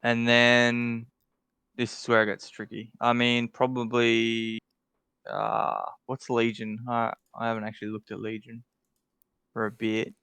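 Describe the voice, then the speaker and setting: A man's voice: medium pitch, monotone, unhurried, sharp tone, clean tone, no room sound. A man co-hosting a casual podcast chat who thinks aloud with many fillers and restarts. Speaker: podcast co-host ranking game alliances.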